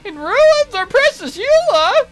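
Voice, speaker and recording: A man puts on a fussy little bird servant for a visual novel. The voice sits very high and swoops about, prim and formal, full of fawning devotion and ready indignation. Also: sing-song, takes it slowly, soft background music, exaggerated voice. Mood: indignant. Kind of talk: visual novel character voice-over.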